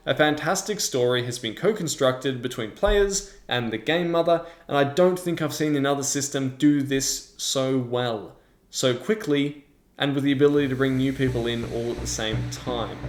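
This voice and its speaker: A man's voice: medium pitch, normal speed. A man presenting a scripted review as the host of a video. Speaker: tabletop game reviewer and host. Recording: roomy, echoing sound.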